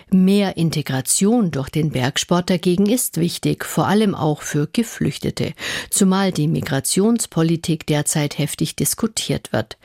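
A woman presenting a radio magazine show in a smooth, even manner. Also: low voice, no echo, studio quality. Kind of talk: scripted radio moderation.

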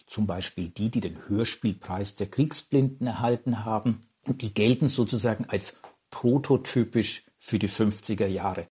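A man's voice: fairly low pitch, darkish tone, slightly rough. A man, a media historian, explaining in an interview with filler phrases. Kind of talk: expert interview.

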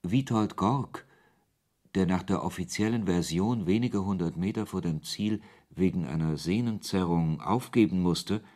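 An actor playing a wry author who narrates his story in a measured, literary way in a German radio play. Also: low voice, darkish tone.

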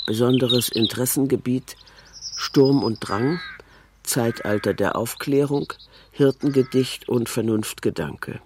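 A man reading scripted literary narration in a deliberate, matter-of-fact manner. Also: medium pitch, darkish tone, a little hiss or hum.

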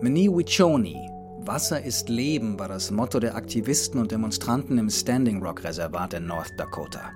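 A man's voice: on the low side, expressive, normal speed, over music. A man narrating a scripted travel documentary as the journey's own reporter.

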